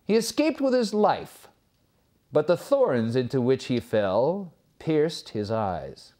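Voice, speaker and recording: A man reading a fairy tale aloud as a storyteller, expressive and theatrical, with measured phrasing. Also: medium pitch.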